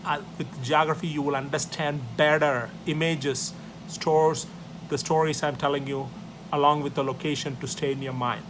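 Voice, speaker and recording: A man's voice: a little high, fairly even intonation, normal speed, background noise. A man from South India whose first language is Tamil, speaking English with an Indian accent as he gives a history class, listing numbered points and often tagging "right?" onto his sentences. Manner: loose, repetitive phrasing, numbered points, many tag questions. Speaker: teacher.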